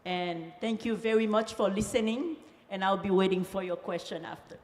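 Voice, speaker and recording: A woman speaking as a panelist, finishing her remarks in a halting way and searching for words. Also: medium pitch, a little mellow, a little hiss or hum. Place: conference hall. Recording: echoey hall with long reverb.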